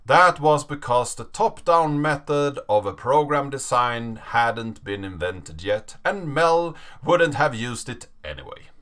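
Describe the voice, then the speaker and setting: A man in his thirties giving a dramatic reading of a written story with deliberate, emphatic phrasing. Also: medium pitch, animated, a bit bright. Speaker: narrator reading a story aloud.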